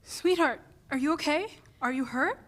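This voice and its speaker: A woman's voice: high voice, moderate pace, natural voice. A woman playing a stressed, driven mother and restaurant owner in a dramatic play reading. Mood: concerned, soothing.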